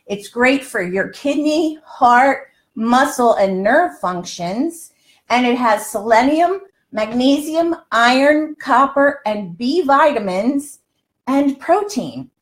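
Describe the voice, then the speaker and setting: A woman in her sixties chatting and explaining in a folksy, emphatic way as host of a live cooking show, recorded in a home kitchen. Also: on the high side. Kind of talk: chatty instructional livestream.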